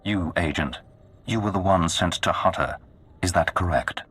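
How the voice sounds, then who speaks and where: An actor playing a menacing, imperious Sith lord in a video game, speaking with slow, cold command. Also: male voice, low voice, a bit bright, husky. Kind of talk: video game cutscene acting.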